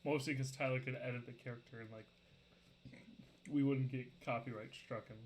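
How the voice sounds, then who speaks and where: Relaxed young man, rambling casually. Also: mid-range voice, fairly quick, a little hiss or hum, rough recording. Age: young adult. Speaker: cast member interviewed. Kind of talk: casual self-introduction. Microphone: video call.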